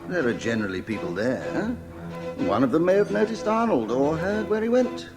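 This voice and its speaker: An actor playing a mysterious, cautioning man who can send others through time, with a measured, ominous delivery in an audio drama. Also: a little high, big pitch swings. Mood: knowing. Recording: music under the voice.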